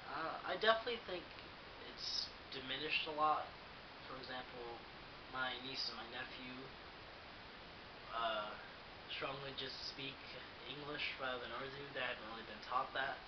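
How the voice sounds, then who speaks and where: A man's voice: medium pitch, moderate pace. A man in his twenties talking casually about his life, with many fillers. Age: 20s. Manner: relaxed, many fillers and "definitely". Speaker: interviewee. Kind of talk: casual personal account. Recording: hissy, dull, roomy home recording.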